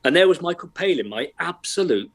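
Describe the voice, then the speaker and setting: A middle-aged man with a light Welsh accent chats as an interview guest, self-deprecating and quick to laugh. He talks from his bedroom at home over a remote call. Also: fairly high pitch, animated. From Wales. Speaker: actor, guest. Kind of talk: casual interview chat.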